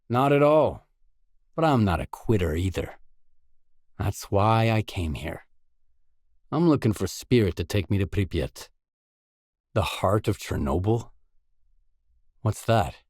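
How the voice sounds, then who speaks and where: Low, slow, resolute game hero. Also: male voice, darkish tone, no room sound, clean studio sound. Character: earnest, determined male stalker in a post-apocalyptic zone. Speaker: video game protagonist. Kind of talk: scripted video game dialogue.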